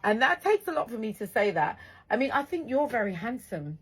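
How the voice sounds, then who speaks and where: A woman hosting a radio show with a naturally husky voice, chatting with a guest in a teasing, chatty way that breaks into laughter easily. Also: fairly high pitch, very animated, brisk. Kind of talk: casual on-air chat.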